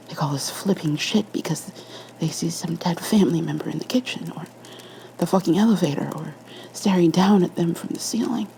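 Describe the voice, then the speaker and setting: A woman voicing an excited, secretive police officer who murmurs haltingly in a horror story reading. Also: lowish voice, vocal fry, a little hiss or hum, low-quality recording.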